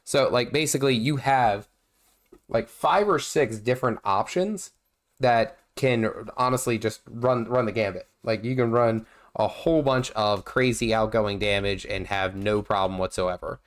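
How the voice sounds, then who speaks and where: A man's voice: on the low side, a little slow. A man with a nasal, under-the-weather voice, rambling through casual livestream commentary with lots of fillers and restarts while he plays. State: nasal from feeling unwell. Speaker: gaming livestream host.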